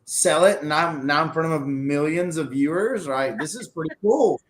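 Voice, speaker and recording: A man's voice: fairly high pitch, expressive, moderate pace. A man in his forties from Pakistan, a restaurant owner telling his story in a loose, talkative way during a casual interview.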